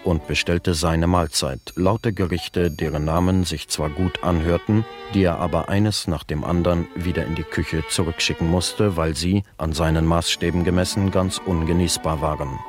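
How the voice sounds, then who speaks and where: An actor narrating as a composed chronicler in a German radio play, with formal, measured storytelling. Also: male voice, deep voice, fairly even intonation, music in the background, lightly acted. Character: composed male chronicler recounting anecdotes of a rich man.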